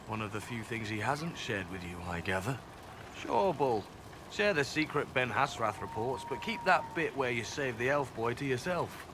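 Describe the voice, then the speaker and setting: A man's voice: mid-range voice, big pitch swings, moderate pace, close to an everyday voice. A man playing a guarded elf spy and former slave in a video game, speaking dryly and earnestly. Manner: dry, matter-of-fact, quietly earnest. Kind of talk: video game cutscene acting. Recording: game capture with loud ambient background noise.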